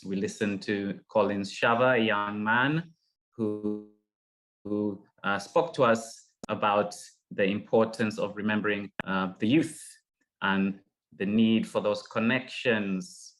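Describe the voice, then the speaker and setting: Middle-aged guy running an online panel, slow and kinda low, lots of uhs and restarts, video call sound. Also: fairly bright tone, rough recording. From Uganda. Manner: hesitant, many fillers and restarts. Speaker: session moderator.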